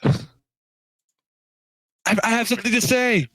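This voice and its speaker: A man putting on a high, shrill voice to imitate a panicked stranger who calls out pleadingly. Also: a little slow, fairly bright tone, no echo, studio quality. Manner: high strained calling out.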